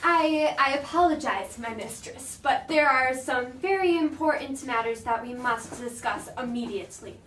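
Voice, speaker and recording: A woman's voice: high voice, normal speed, few pauses, fairly bright tone. A woman playing a young royal attendant in amateur comic theatre, hesitant, apologetic and deferential. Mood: anxious, deferential. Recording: echoey room, distant mic, faint noise.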